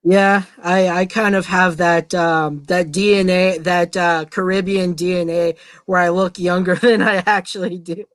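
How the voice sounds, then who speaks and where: A man's voice: high-pitched, fairly even intonation, a little slow, few pauses, fairly bright tone, no room sound. A man with a Canadian accent, asking questions with some hesitation in a casual interview over a video call. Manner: friendly, hesitant questions with fillers.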